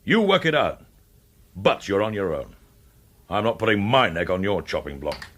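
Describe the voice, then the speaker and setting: A man's voice: deep voice, sing-song, normal speed, fairly bright tone, a little hiss or hum. An actor playing a blunt, distrustful lawman giving curt orders in a radio thriller. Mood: irritated.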